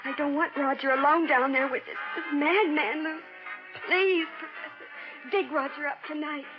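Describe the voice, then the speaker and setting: A woman's voice: sits high, fairly even intonation, normal speed, lightly acted. An American woman playing an anxious, pleading wife in old-time radio horror melodrama, fretful and theatrical. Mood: worried, pleading. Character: anxious, pleading young wife of a buried man. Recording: muffled old radio recording with music.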